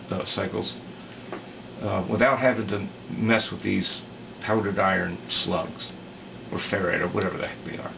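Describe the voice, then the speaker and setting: A man talks through a hands-on technical repair at a very unhurried pace, pausing to think aloud. His voice is on the low side with a gravelly fry. He sounds some way from the microphone, with clear noise behind him. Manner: halting, thoughtful, thinking aloud. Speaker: repair video maker demonstrating electronics work. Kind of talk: casual unscripted technical explanation. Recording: distant mic with clear background noise.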